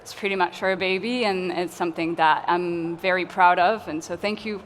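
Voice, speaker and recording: A woman's voice: lowish voice, steady tone, moderate pace, slight background noise. Woman from Tunisia, panel curator. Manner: friendly, hesitant with fillers. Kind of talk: conference panel talk. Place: conference hall. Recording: long hall reverb, faint ambience.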